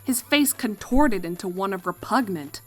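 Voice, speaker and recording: A woman's voice: medium pitch, expressive, normal speed, fairly bright tone, soft background music. A woman reading a fan fiction story as a scripted narration.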